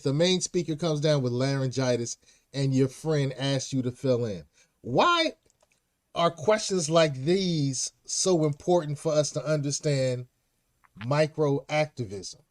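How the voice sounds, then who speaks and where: A man with a somewhat high-set voice hosts an interview show. He is enthusiastic and exclamatory, drops in slang, and repeats phrases so they land. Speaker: talk show host.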